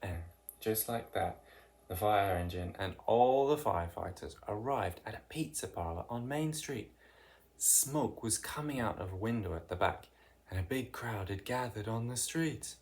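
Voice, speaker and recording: A narrator reading a children's picture book aloud in an expressive, deliberate way with dramatic emphasis. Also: medium pitch. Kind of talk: read-aloud children's storytelling.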